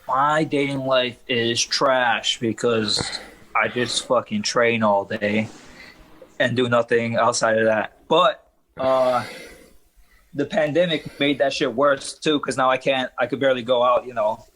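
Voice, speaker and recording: A man's voice: medium pitch, fairly even intonation, unhurried, a bit bright, rough recording. A young man from Trinidad, a fighter and podcast guest, talking in a laid-back way over a video call, swearing casually and laughing often.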